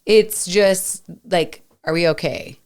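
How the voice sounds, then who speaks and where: A woman's voice: on the low side, slow, clear, resonant tone. A woman co-hosting a casual podcast, reflective and often agreeing with short murmurs. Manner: reflective, agreeing with quiet backchannels. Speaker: podcast co-host.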